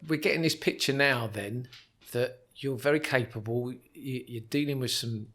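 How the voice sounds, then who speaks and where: A man hosting a podcast, gently guiding his guest with short prompts and reflections. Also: medium pitch, normal speed. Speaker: podcast host and interviewer. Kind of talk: conversational interview.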